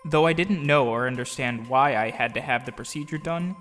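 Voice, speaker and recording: A grown man narrates his childhood in an audio drama, read by a male actor. His voice is mid-pitched, reflective and measured. Long reverb surrounds it, with faint music behind. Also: fairly bright tone, lightly acted. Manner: measured, storytelling. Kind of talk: scripted first-person narration.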